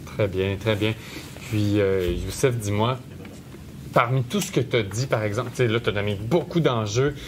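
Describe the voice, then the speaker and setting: A man's voice: fairly low pitch, moderate pace, background noise. A man interviewing teenagers for a podcast, encouraging and a little hesitant. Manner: encouraging, repeats "très bien", hesitant fillers. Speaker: interviewer hosting a school podcast.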